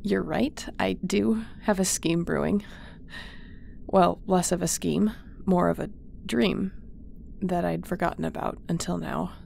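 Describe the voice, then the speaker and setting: A caring lady starship captain, really croaky fry, soft reassuring chat, sci-fi roleplay. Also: fairly low pitch, a little slow, a little hiss or hum. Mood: relieved, thoughtful. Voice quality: creaky. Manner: tender, reassuring, conversational. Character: caring, loyal female spaceship captain. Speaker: voice actor. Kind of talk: audio roleplay acting.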